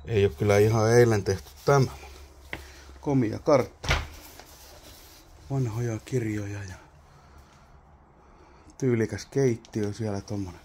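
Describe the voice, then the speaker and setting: A man's voice: on the low side, pauses often, dark tone, slight background noise. A man exploring an abandoned wooden cabin, wondering aloud at old objects in casual vlog commentary, recorded on a handheld camera. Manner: muttering, wondering aloud while poking around.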